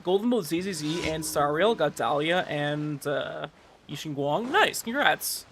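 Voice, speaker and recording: A man's voice: on the high side, animated, measured pace, fairly bright tone, a little hiss or hum. A man livestreaming a game, chatting casually and giving commentary in a slangy, informal way full of filler words. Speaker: livestreamer playing a gacha game.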